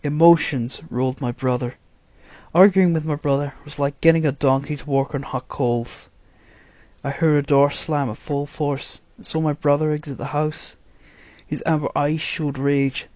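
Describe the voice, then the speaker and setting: Guy reading fantasy story out loud, dramatic narration, voice a bit high, no room echo. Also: fairly even intonation, normal speed. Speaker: narrator, reader.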